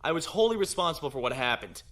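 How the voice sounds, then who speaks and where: A man's voice: fairly high pitch, sing-song, quick pace, a bit bright. A man playing a principled young noble naval lieutenant in an audio drama, earnest, formal and resolute. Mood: indignant, resolute.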